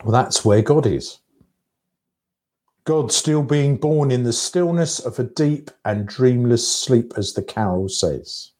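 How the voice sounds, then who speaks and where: A man giving a reflective sermon in a measured, contemplative way, breaking his thoughts into short phrases. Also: mid-range voice, expressive, darkish tone. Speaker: preacher.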